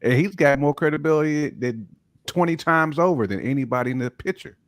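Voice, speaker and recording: A man's voice: a little high, lively intonation, brisk, no echo, studio quality. A man with an American accent bantering casually as a panelist on a hip hop show, slangy and opinionated. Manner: slangy, opinionated, peppered with "you know what I'm saying".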